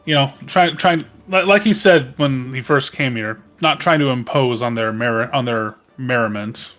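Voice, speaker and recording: A man's voice: medium pitch, normal speed, a bit bright. A man chatting casually as a player in a tabletop game, hesitant and thinking aloud. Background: music.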